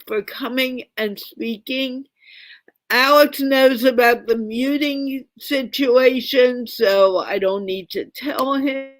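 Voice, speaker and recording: A woman hosts an online English conversation class over a video call, speaking slowly and in a firm, teacherly way. Her voice sits a little high, and the sound is dry and close. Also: no room sound. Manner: firm, instructive, reminds guests to mute. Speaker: host of an English conversation show. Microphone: computer mic on a video call.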